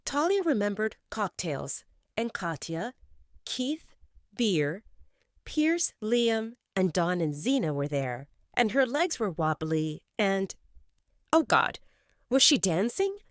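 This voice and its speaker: A woman narrating a romance audio story in an expressive, scripted style, close on a studio mic. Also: medium pitch, no echo. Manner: expressive, swinging in tone.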